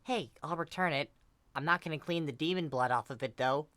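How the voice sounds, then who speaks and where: A man's voice: on the high side, brisk, bright, forceful tone, no room sound. A man voicing a brash, gullible, self-absorbed young woman in a dramatic story reading. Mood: grudging.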